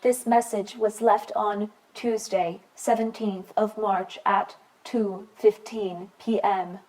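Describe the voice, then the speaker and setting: Actress for radio play answering machine voice: female, slow, measured, formal and impersonal. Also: mid-range voice, steady tone, a little mellow, close to an everyday voice, rough recording. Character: answering machine announcer reading message dates, impersonal.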